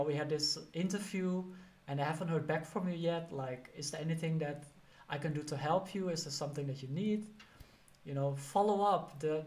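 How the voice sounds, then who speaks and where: Dutch guy teaching career tips, kinda high voice, chatty, lots of you know and like. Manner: conversational, sprinkled with "you know" and "like". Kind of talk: motivational career advice talk.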